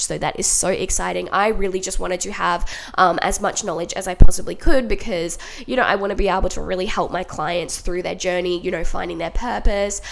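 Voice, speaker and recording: A woman hosting her podcast from home while sick with a cold, her nose blocked and her throat sore, so she sounds deeper and huskier than usual. She talks in a chatty, upbeat way and keeps addressing her listeners as guys. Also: creaky. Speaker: podcast host and life coach. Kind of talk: solo podcast monologue. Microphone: home podcast mic.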